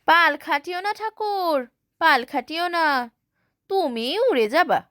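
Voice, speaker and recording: Woman voicing a rugged old-school river ferryman, rustic talk, barking orders, lively swinging pitch. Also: slow, no room sound, studio quality, heavily acted. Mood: commanding. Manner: rustic village speech, calling out orders. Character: rugged weathered male river ferryman, calm and commanding.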